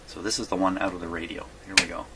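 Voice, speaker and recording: A man's voice: low voice, level tone, rapid-fire. A man giving a casual hands-on workshop demonstration, thinking aloud in a rambling way and often questioning himself. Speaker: presenter of radio repair videos. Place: small home workshop. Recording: clearly noisy small workshop recording.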